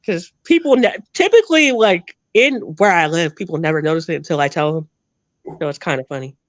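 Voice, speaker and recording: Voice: mid-range voice, expressive, brisk, dry recording. An interview guest from New Jersey with a half-Northern, half-Southern American accent, telling rambling stories in a chatty way with frequent laughs. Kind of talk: casual storytelling chat.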